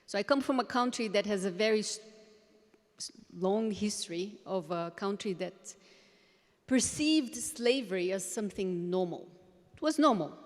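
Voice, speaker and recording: A woman's voice: mid-range voice, lively intonation, normal speed. A woman from Brazil with a Brazilian accent, giving an earnest, reflective conference talk with hesitant restarts. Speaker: conference speaker. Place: large conference hall. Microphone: handheld microphone. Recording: reverberant hall, faint background noise.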